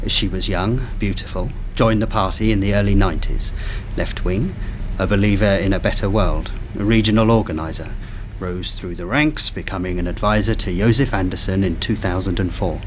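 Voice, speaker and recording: A man's voice: deep voice, a little hiss or hum, natural voice. A man playing a clipped, matter-of-fact briefer in a radio play. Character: terse male briefer summing up a target's career.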